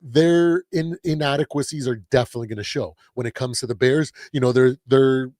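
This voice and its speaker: A man hosting a casual sports talk livestream, his voice a little raspy while he gets over a cold, rambling with lots of restarts and fillers. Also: mid-range voice, brisk, darkish tone, no room sound, clean studio sound. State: recovering from a cold. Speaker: host.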